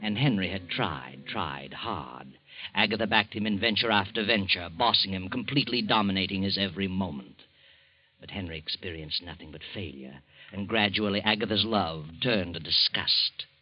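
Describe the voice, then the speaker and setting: A deep, ominous night-walking storyteller. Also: male voice, dry recording. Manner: slow, brooding, ominous delivery. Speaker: narrator. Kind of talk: 1940s radio drama narration. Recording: dull old radio broadcast recording.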